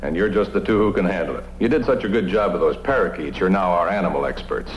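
An actor playing a wry, businesslike police captain giving orders in a radio drama. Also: male voice, deep voice, fast talker, natural voice. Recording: roomy, muffled, noisy radio recording.

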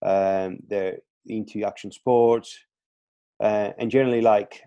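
A man's voice: on the low side, steady tone, a little slow, gravelly, dark tone, no echo, highs cut off. A man in his thirties from Greece with a Greek accent, speaking English as a second language as a coach guest on a podcast, hesitant with repeated words and fillers. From Greece (Crete). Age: 30s. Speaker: strength and conditioning coach, guest. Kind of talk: casual podcast interview.

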